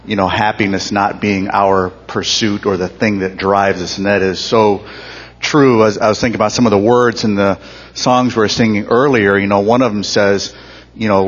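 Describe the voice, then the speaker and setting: A man with a fairly low voice speaks hesitantly and in a friendly way, with many fillers, in a church group. The sound is muffled and has a long hall reverb, with faint ambience behind. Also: slight background noise.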